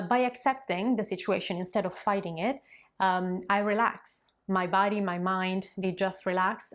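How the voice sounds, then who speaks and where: A woman, a language coach in her thirties, talking straight to her viewers in an encouraging, conversational way. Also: mid-range voice. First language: Italian. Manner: warm, encouraging, conversational with occasional fillers. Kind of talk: direct-to-camera coaching talk.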